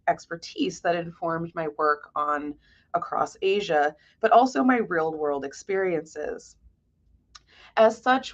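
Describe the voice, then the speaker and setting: A woman giving a prepared museum lecture as a curator, measured and articulate in a scholarly way. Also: mid-range voice, creaky, highs cut off. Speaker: guest lecturer, art historian and curator.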